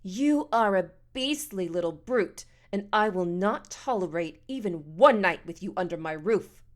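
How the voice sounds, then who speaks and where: A woman's voice: medium pitch, lively intonation, a little slow, natural voice. A woman voicing a haughty, self-righteous foster mother in an audiobook, with stilted, formal diction and a pompous manner. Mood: contemptuous scolding. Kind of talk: audiobook character dialogue.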